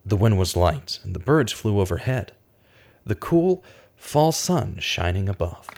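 A man's voice: on the low side, very animated, a little slow, vocal fry, a little mellow, slight background noise, exaggerated voice. An actor playing a brooding investigator in a radio drama, earnest and emphatic as he talks and narrates. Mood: determined narration. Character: brooding, angry male investigator hunting an abuser. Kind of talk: radio drama dialogue and first-person narration.

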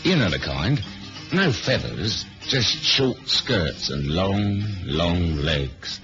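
A man's voice: sits low, big pitch swings, takes it slowly, music in the background, full character voice. A man playing a cocky, wry British spy who chases women, acting and narrating in a laid-back, slangy way in a 1970s radio drama. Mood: wry narration. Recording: dull, lo-fi old radio recording.

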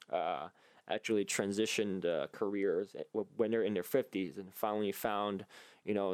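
Male solo podcast host, lowish and creaky, earnest, halting, reflective and conversational. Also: no room sound. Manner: earnest, halting, thinking aloud. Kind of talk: casual reflective monologue.

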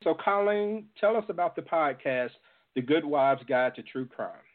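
The audio is thin and phone-like. A male radio host speaks with an animated, swinging pitch as he puts questions to his guests. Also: normal speed. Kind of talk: interview questioning.

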